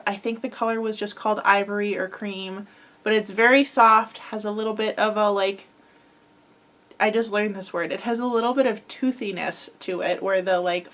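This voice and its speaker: A young woman chats casually about her crochet projects with a slightly stuffy, head-cold sound. She rambles and fills pauses with "um" and "like". Her pitch sits mid-range for a woman. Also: a bit bright. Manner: chatty, rambling, filler-heavy with frequent likes and ums. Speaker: crochet podcast host. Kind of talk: casual chat.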